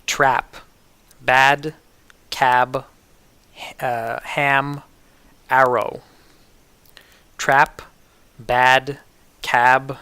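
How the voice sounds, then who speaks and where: A man with a New York City American accent gives an instructional pronunciation demonstration, enunciating words precisely and deliberately. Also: mid-range voice, sharp tone, clear, resonant tone, no room sound. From New York City, United States. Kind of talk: instructional demonstration with word lists.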